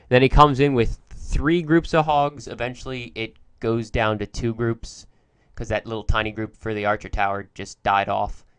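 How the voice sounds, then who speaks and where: A man's voice: mid-range voice, smooth, dry recording, clean studio sound. A young man commentating game replays, explaining each attack step by step, with a cold wearing on his voice. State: has a cold, voice going. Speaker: gaming commentator.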